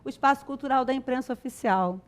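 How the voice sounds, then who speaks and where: Higher-pitched Brazilian woman hosting. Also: quick pace. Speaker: TV show host. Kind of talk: live talk show interviewing.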